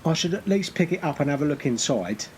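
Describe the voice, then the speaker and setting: A man playing a naive, hopeful, excitable castaway in a comedy radio play. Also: a little high, fast, slight background noise, lightly acted.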